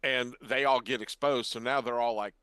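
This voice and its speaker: A man's voice: medium pitch, fairly even intonation, moderate pace, a bit bright, clean tone, no room sound. A middle-aged man co-hosting a podcast in casual, joking chat. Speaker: podcast co-host.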